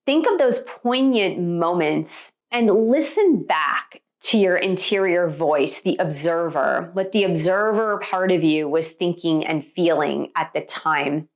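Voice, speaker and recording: An American woman gives a motivational spiritual talk with a very lively, varied pitch. Her delivery is conversational and emphatic, like a meditation coach explaining ideas straight to her viewers. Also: takes it slowly, few pauses. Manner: emphatic, conversational, with rhetorical check-in questions.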